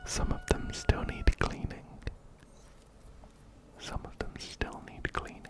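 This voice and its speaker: Slow, whispering male ASMR gamer. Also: very high voice, big pitch swings. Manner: gentle, repeats phrases softly. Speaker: ASMR host playing a video game. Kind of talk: close-up whispered ASMR game commentary. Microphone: close binaural microphone, ear to ear.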